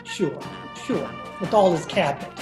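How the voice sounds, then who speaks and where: A woman's voice: lowish voice, moderate pace, lightly acted. A woman acting as a wry male hustler in an old-time style radio drama, sardonic, recorded with echo over music. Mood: wry, quizzical. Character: wry, wisecracking male hustler telling his own misadventures. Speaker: lead actor and narrator. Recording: echoey video-call recording.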